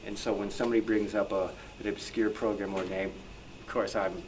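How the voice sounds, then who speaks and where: Lowish middle-aged man, informal meeting talk. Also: steady tone, a little fast, darkish tone, slight background noise, poor audio. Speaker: old-time radio researcher.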